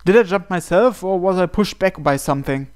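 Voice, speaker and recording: A man's voice: sits high, brisk, noisy background. A man reading visual novel narration aloud dramatically, as a let's player.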